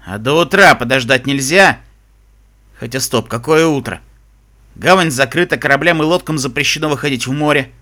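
A man voicing a grumpy, greedy zebra smuggler captain in an audiobook, with a hoarse, gruff voice and barking sailor talk. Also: mid-range voice, normal speed, sharp tone, voice pushed down, lightly acted. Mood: gruff, suspicious. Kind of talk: audiobook character voice.

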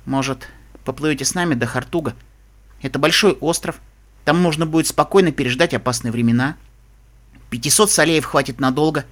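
A man's voice: fairly high pitch, a little fast, a bit bright, natural voice. A man voicing a confident, courteous female pony sorceress in an audiobook, polite and wry. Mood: friendly, persuasive. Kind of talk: audiobook character voice.

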